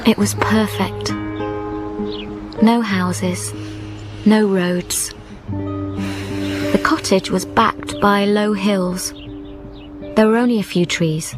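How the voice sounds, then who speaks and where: An actress playing a grieving young widow, narrating a radio drama in a measured, lyrical, introspective way. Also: female voice, mid-range voice. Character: young widowed woman, grieving, reflective and solitary. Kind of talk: scripted first-person radio drama narration. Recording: music behind the narration.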